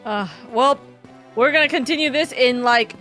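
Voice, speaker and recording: A woman's voice: high voice, normal speed, pushed and bright, faint music behind. A young woman streaming with friends, chatting casually and laughing often, with a Californian accent; English is not her first language. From moved to the US as a child. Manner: giggly, bursts into laughter often. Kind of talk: casual group chat while voicing game characters.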